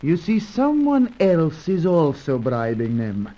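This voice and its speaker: A man's voice: fairly high pitch, wildly swooping pitch, slow, warm tone, heavily acted. An actor playing an earnest male South American guide, formal and deferential, in old-time radio serial style. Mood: grave, respectful. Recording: dull vintage radio recording.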